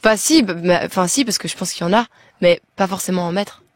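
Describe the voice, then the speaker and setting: A woman's voice: on the low side, fast talker, no room sound. A young woman from Belgium with a Belgian French accent, a singer as a radio interview guest, talking hesitantly with many restarts. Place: radio studio.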